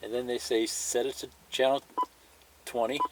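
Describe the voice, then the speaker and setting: A man's voice: medium pitch, level tone, moderate pace, noisy background. A man giving a plain-spoken, step-by-step hands-on demonstration, pointing things out and repeating himself as he goes. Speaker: hobbyist instructor. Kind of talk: step-by-step hands-on tutorial demonstration, partly reading a manual aloud.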